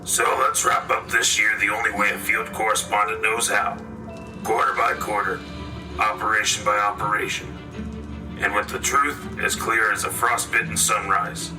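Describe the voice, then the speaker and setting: A man's voice: lowish voice, flat delivery, normal speed, distant mic, over music, natural voice, rough recording. A man playing a weary, resolute war correspondent giving a terse, solemn scripted radio dispatch in clipped phrases. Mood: reflective.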